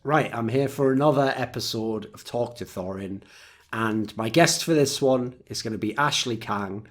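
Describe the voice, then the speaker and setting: A man's voice: medium pitch, moderate pace. A man hosting a casual interview, asking long, winding questions full of likes and restarts. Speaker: host.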